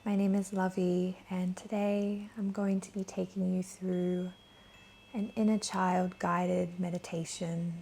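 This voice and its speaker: A woman leading a guided meditation in a gentle, soothing, soft voice that is breathy at times. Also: lowish voice, flat delivery, a little hiss or hum. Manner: gentle, soothing, unhurried with long pauses. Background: soft chimes.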